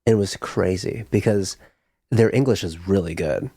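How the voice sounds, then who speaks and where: A man from Chicago talks loosely in a podcast chat, rambling with many fillers and restarts. His voice sits a bit low. He is recorded dry and close on the mic. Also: moderate pace, mellow, slightly rough, no room sound. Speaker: podcast guest, musician. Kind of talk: casual chat.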